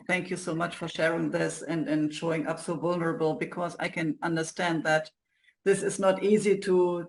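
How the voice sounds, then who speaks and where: Female interview host, earnest and supportive, halting phrasing, creaky voice with a flat, even melody. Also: on the low side. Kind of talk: interview talk, encouraging listeners.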